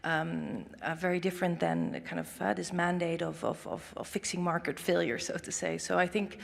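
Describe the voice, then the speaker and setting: A woman's voice: fairly low pitch, expressive, moderate pace, gravelly. A woman speaking on a conference panel, hesitant, with many fillers and restarts. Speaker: panelist, think tank director. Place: large conference hall. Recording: long hall reverb.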